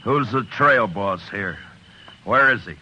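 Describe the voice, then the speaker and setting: An actor playing a terse, deadpan, stubborn American lawman in an old-time radio western. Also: on the low side, slow, a little hiss or hum, full character voice. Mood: stern. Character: grim, stubborn, laconic Old West lawman. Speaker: lead actor and narrator. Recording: dull vintage radio recording, music behind.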